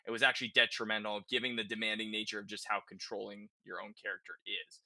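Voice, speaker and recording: A man with a very bright, forceful voice hosts a casual gaming discussion. He speaks conversationally and tags many statements with a quick check-in. The sound is dry and close. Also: fairly low pitch, level tone, dry recording. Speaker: podcast host.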